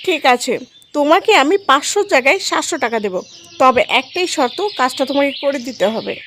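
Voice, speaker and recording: A woman's voice: high-pitched, animated, brisk, a bit bright, a little hiss or hum. A woman playing a cruel, scheming stepmother in a cartoon, commanding and wheedling. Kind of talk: cartoon story voice acting.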